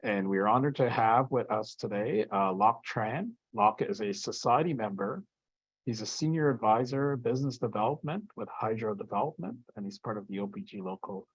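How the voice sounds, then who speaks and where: Male host of a virtual talk, low voice, muffled and dry. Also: animated, moderate pace, breathy edge, dry recording. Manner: friendly introductions with fillers. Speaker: event host. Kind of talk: hosting an online lunch talk. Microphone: video call.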